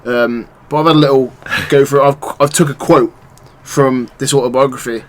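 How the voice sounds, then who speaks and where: A man hosting a casual football podcast, leading the segments in a quick, chatty way. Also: mid-range voice, steady tone. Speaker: podcast host.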